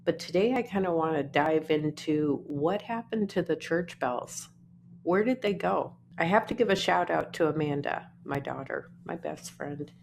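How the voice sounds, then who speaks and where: Deep-voiced, slow-talking woman. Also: slight background noise. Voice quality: naturally deep voice. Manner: unhurried, musing, trailing off between phrases. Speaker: artist vlogger. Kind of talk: casual talking-to-camera vlog. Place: her apartment.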